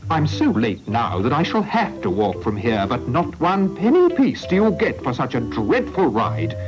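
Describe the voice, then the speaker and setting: A man's voice: mid-range voice, huge pitch swings, normal speed, over music, voice pushed up. A man voicing a pompous, indignant older mayor with a huffy manner, on a lo-fi storybook recording. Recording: lo-fi old recording.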